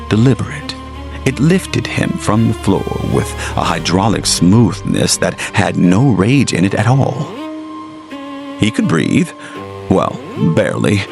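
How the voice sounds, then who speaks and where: A man narrating a scripted horror story in a measured, suspenseful, dramatic manner. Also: deep voice, creaky.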